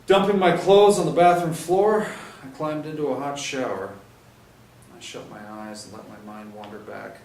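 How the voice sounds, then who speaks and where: A man's voice: mid-range voice, moderate pace, a little mellow. Man narrating crime fiction, roomy distant sound. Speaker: reader of a novel chapter. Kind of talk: dramatic first-person crime fiction narration. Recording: roomy, distant mic, faint noise.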